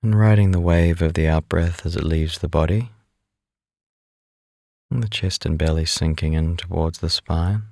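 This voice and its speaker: A man leading a guided meditation as an instructor, speaking in a calm, unhurried, instructive way. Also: low-pitched, creaky, no room sound, clean studio sound.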